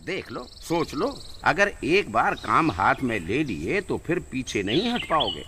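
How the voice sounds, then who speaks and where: A man's voice: medium pitch, big pitch swings, a little slow, heavy background noise. A man playing a wealthy, shady merchant offering illegal deals in a cartoon moral story. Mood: businesslike. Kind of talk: animated moral-story dialogue in Hindi.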